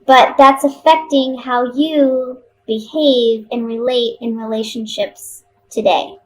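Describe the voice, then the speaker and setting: A woman with a somewhat high voice reads tarot for her viewers. She talks casually and off the cuff, pausing and repeating herself as she thinks aloud. A light noise sits behind her voice. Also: fairly bright tone, poor audio. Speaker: tarot card reader.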